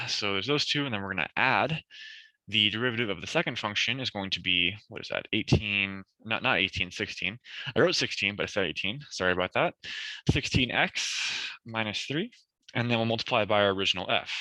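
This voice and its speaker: A young man tutoring a math review session, talking through problems step by step and correcting himself, his voice a bit worn as he clears his throat. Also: lowish voice, pushed and bright, no room sound, rough recording. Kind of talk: recorded review session lecture.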